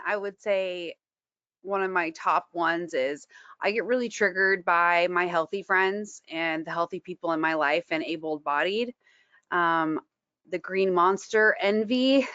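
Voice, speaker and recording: A woman's voice: medium pitch, measured pace, fairly bright tone, clear, resonant tone, dry recording, muffled recording. A woman in her thirties from Washington State, talking frankly on a panel and laughing easily between fillers. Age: 30s. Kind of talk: candid panel talk.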